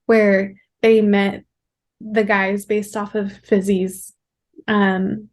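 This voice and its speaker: Woman explaining books in a measured way. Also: mid-range voice, little pitch movement. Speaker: book review show co-host. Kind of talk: casual book discussion.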